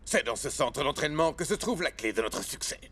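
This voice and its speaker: A man's voice: a little high, very animated, fairly quick, a bit bright, breathy edge, exaggerated voice. A man playing a stern, scheming rival team boss in a dubbed cartoon, with a commanding, emphatic way of talking. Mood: stern, insistent. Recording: music behind the voice.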